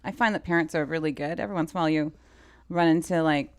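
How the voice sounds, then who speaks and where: A woman's voice: sits low, fast talker. A woman guest in a casual interview, telling anecdotes with acted-out dialogue and lots of "like". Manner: animated storyteller, quoting dialogue, frequent "like". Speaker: interview guest, film assistant director.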